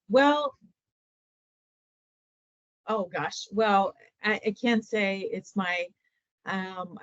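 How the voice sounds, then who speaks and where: A middle-aged woman from Ukraine, a native Ukrainian speaker, talking casually as an interview guest and pausing often with fillers and restarts. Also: lowish voice, no echo. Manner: hesitant, many fillers and restarts. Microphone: video call. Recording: dull lo-fi video call audio.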